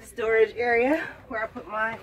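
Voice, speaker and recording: A woman's voice: on the high side, steady tone, moderate pace, fairly bright tone, dull audio. A woman casually explaining her van setup as its owner, recorded outdoors on a handheld camera. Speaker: van dweller showing her van. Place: outdoors in open desert, in and beside a van. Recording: outdoor camera audio with crowd noise.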